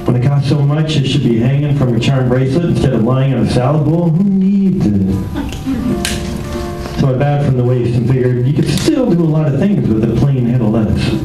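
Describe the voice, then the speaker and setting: A man's voice: mid-range voice, expressive, a little fast, lightly acted. A man as a wisecracking private eye, very echoey. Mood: wry, complaining. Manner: breezy, slangy, joking. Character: wisecracking hard-boiled New York private detective. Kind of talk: 1940s-style radio detective narration. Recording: echoey, distant recording with music.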